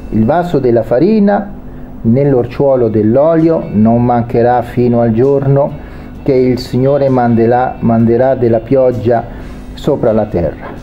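A man preaching a sermon, reading a Bible passage aloud and then explaining it with pauses and restarts. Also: medium pitch, dull audio, soft background music. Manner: reads scripture then explains it, hesitant pauses.

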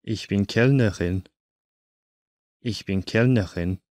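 A man teaching a language course, saying each short phrase twice in slow, careful drills. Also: lowish voice, a little mellow, dry recording.

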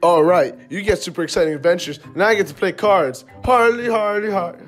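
A man's voice: sits high, a little fast, a bit bright, soft background music. A man voicing a sulky, complaining young buddy with a dramatic delivery in a kids' gaming cartoon.

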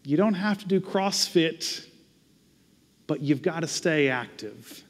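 Southern pastor preaching in a reverberant sanctuary. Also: male voice, on the high side, animated, takes it slowly. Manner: conversational, self-deprecating preaching, then earnest prayer. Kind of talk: sermon with closing prayer. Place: large church sanctuary, at a pulpit. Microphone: pulpit microphone. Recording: long reverb of a church hall.

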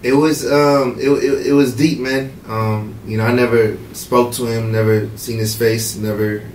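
A man's voice: medium pitch, measured pace. A young man, a hip-hop artist talking casually in a radio studio interview, full of fillers and restarts. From Morocco. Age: 20s. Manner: relaxed, many fillers and restarts. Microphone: studio mic with headphones, heard from a far camera. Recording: roomy, distant camera audio with faint noise.

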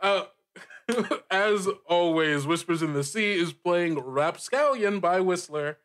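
High-pitched, very animated man hosting. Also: slow pace, a little hiss or hum. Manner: jokey, theatrical, often laughing through words. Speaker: game master and podcast host. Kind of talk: playful podcast banter.

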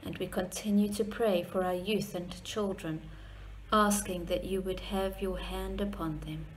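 A middle-aged woman prays aloud in a measured way. Her voice sits on the low side and moves very slowly, recorded at home with noise behind it. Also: fairly even intonation. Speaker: church member leading prayer. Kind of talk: measured spoken prayer. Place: plain room at home. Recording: clearly noisy home recording.

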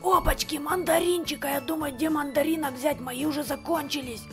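Cheeky male cartoon devil, brash, boastful, high voice, a woman voicing him. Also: fairly even intonation, fairly quick, soft background music.

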